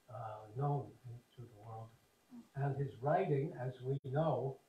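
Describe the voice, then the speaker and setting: A man giving a devotional talk, wry and digressive, with halting fillers. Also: medium pitch, dull audio. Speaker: senior monk speaker. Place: temple hall. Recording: roomy hall, voice from far off, faint noise.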